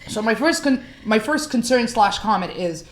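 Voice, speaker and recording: A Somali-born woman co-hosting a casual chat, animated and opinionated, with quick asides. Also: a little high, roomy sound. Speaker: co-host.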